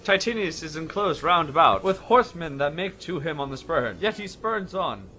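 A man's voice: fairly high pitch, animated, normal speed, pushed and bright, soft background music, poor audio. A man playing an anxious, obedient bondman in an old-time radio Shakespeare drama. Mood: alarmed.

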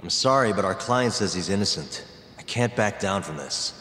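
An actor playing a calm, stubborn lawyer-detective in his thirties in a video game, terse and resolute. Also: moderate pace. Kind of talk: video game cutscene acting. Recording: echoey hall, rumbling low noise.

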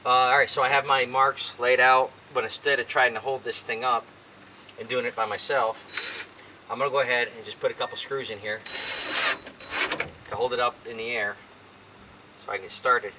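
An older American man talks casually to himself as he works on a DIY job. His voice sits at an average pitch and his delivery is folksy and rambling. The camera mic is some way off in an open van, with strong noise around him. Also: level tone, moderate pace, long pauses. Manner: folksy, rambling, talking to himself while working. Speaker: DIY vlogger. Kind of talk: casual chat while doing a hands-on job. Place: inside open cargo van beside a garage, outdoors. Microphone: camera a few feet away. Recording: distant camera mic, loud noise, clicks.

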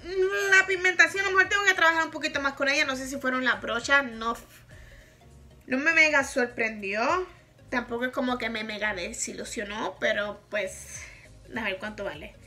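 Puerto rican woman vlogging from her bedroom, bright highish voice, faint music behind. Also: normal speed. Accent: Puerto Rican Spanish. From Puerto Rico. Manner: chatty, frank, laughs often. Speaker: makeup vlogger. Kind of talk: casual product review. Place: bedroom, indoors, small.

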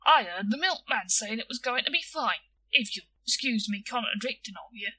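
A woman's voice: a little high, expressive, normal speed, pushed and bright, a little hiss or hum. A woman voicing an earnest, self-important young boy in a story, blunt and stiltedly polite with clumsy grammar. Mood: earnestly polite.

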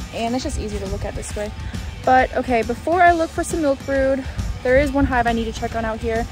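A middle-aged woman chatting casually and thinking aloud as she works outdoors in a bee yard, informal and exclamatory. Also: high-pitched. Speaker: beekeeping vlogger. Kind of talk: casual chat while working.

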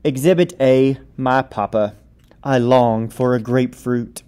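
A man's voice: mid-range voice, measured pace, clean tone. Man reading a picture book aloud expressively. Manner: expressive, playful, acting out the story. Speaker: read-aloud storyteller.

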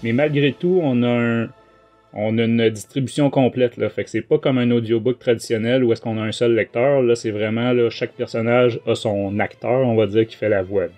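A man's voice: mid-range voice, fairly even intonation, normal speed, warm tone, faint music behind. A man with a Quebec French accent hosting a casual book talk podcast, speaking in hesitant, broken-up phrases. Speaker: podcast host.

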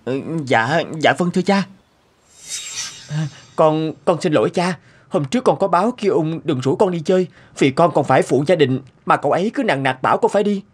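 An actor playing a timid, dutiful young son in an animated folk tale, with a hesitant, stammering manner. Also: male voice, a little high, brisk. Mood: nervous, apologetic.

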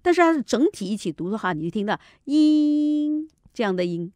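Female radio language teacher, animated intonation, didactic, repeats and demonstrates, dry studio sound. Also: a little high, a little fast, dry recording. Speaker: teacher, commentator on a radio programme. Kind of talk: explanatory language lesson on pronunciation.

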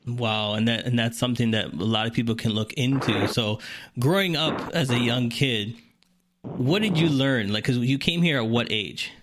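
A man's voice: mid-range voice, moderate pace, fairly bright tone, a little hiss or hum. A man hosting a podcast, asking questions in a loose, rambling way. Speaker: podcast host. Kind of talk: casual interview.

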